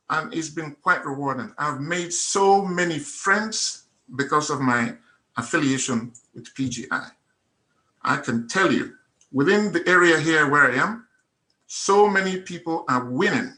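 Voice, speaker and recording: Guy, middle-aged, bright voice, earnest testimony, hammers the same phrase over and over. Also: fairly high pitch, poor audio. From Nigeria. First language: Igbo. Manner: earnest, emphatic, repeats phrases for effect. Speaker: testimonial speaker. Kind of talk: personal testimony at a meetup.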